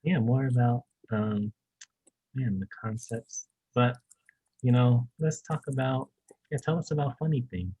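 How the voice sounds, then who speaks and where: A man hosting an interview, relaxed and encouraging, often answering with short murmurs. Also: medium pitch, unhurried, slightly rough. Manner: relaxed, encouraging, frequent mm-hmms. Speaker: interviewer host. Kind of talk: casual interview. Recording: dull, dry, lo-fi call audio.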